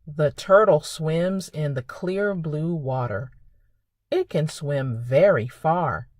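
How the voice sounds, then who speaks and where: A woman hosting a preschool storytime, speaking in simple, expressive phrases for young children. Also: low-pitched, takes it slowly, no echo, studio quality. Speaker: children's librarian and storytime host. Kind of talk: preschool storytime reading and narration.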